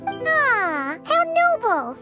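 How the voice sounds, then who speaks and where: A woman voicing a tiny, cheeky, childlike fairy companion in a video game, squeaky and teasing with exaggerated delivery. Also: huge pitch swings, very slow, full character voice. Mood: teasing, mock admiring. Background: game music.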